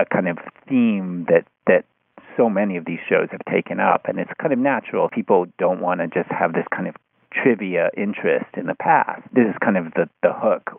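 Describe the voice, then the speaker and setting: Low creaky man on a phone-like line. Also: no room sound. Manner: hesitant, circling, heavily hedged phrasing. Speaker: podcast discussion participant. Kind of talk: casual analytical discussion.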